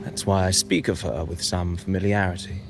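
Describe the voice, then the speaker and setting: Courtly wandering bard for a fantasy game: lowish voice, eloquent, measured, mysterious storytelling delivery. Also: male voice, fairly even intonation, gravelly, music in the background. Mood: calm, knowing. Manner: eloquent, theatrical, measured, poetic. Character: cryptic, courtly male traveling poet and storyteller. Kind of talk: dramatic fantasy game voice acting.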